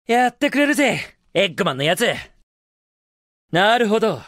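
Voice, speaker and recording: A man's voice: high-pitched, sing-song, moderate pace, a bit bright, no room sound, clean studio sound, full character voice. A man voicing a cocky, upbeat, heroic young hedgehog in a Japanese video game, with a confident, casual, brash delivery. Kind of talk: Japanese video game voice acting, short clips.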